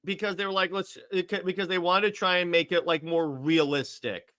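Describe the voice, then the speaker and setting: A man's voice: high voice, fairly even intonation, a little fast, a bit bright, clean tone. A man co-hosting a casual chat show, discussing films and viewer comments. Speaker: co-host reading viewer comments.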